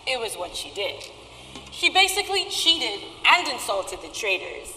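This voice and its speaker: A woman's voice: on the high side, very animated, moderate pace, sharp tone, heavily acted. A woman playing a consoling market-goer in a stage play. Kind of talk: theatrical stage play acting. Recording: distant mic, echoey hall, faint noise.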